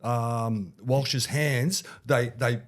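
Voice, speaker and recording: A man with a head cold, a little nasal, rambling excitably in casual sports chat as a podcast co-host. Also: medium pitch, drawn-out words.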